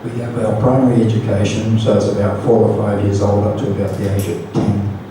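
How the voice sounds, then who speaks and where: A man with a New Zealand accent gives an informal talk as a Buddhist monk, hesitant and gently self-deprecating, with light humour. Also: lowish voice, little pitch movement, a little fast. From Auckland, New Zealand. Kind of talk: informal Dhamma talk to an audience. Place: community centre hall. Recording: distant mic, very echoey room, noisy.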